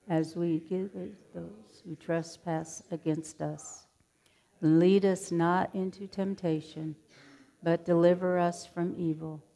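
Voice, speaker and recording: Woman saying a prayer real slow, low gravelly voice, flat and even. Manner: slow, steady, even recitation. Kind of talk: reciting a prayer.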